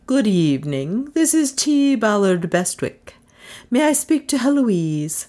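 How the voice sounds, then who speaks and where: A woman's voice: medium pitch, sing-song, takes it slowly, mellow, voice pushed up. A pompous male university president as a woman narrator plays him, plummy and self-important. He scolds in a storybook reading with stiff condescension. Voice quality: plush, plummy.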